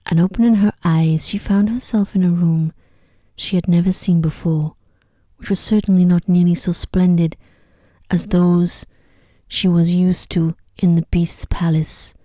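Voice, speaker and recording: A woman reads a bedtime story as soft ASMR narration. Her voice is lowish and extremely mellow, hushed and gentle with touches of whisper. The sound is close and dry. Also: fairly even intonation, measured pace, no room sound.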